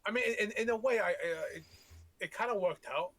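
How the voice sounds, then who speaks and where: A man's voice: high-pitched, brisk, husky. A man whose first language is Tagalog, co-hosting a podcast in a rambling, casual way, often restarting and swearing freely. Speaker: podcast co-host. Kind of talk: casual chat.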